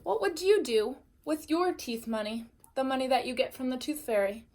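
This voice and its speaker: A woman teacher reading a storybook aloud expressively and pausing to put questions to her young listeners. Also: high voice, animated, a little mellow. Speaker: teacher reading a bedtime story to first graders.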